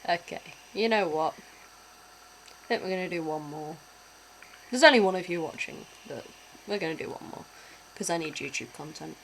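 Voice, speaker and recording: A woman's voice: fairly low pitch, moderate pace, creaky, pauses often, fairly bright tone, a little hiss or hum, low-quality recording. A young British woman chatting casually while reacting to videos on a stream, laughing often and swearing offhand. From Britain.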